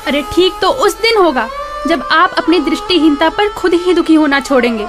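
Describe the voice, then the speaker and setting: High-pitched nagging wife. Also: female voice, normal speed. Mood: scolding. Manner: scolding, complaining, theatrical. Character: nagging, sharp-tongued village wife of a poor blind labourer. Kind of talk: Hindi radio drama acting. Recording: music bed behind the voice.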